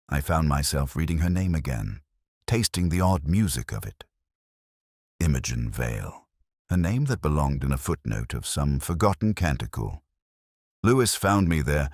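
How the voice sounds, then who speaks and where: An older man playing a melancholy, cerebral detective, narrating a scripted mystery in a measured, reflective way. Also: very deep voice, no echo, studio quality, exaggerated voice. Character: melancholy, cerebral, brooding male English police detective.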